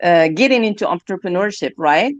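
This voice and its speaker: Woman host, lowish voice, polite and measured, dry muffled call sound. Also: lively intonation, dry recording. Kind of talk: interview hosting. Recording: dry, dull lo-fi audio.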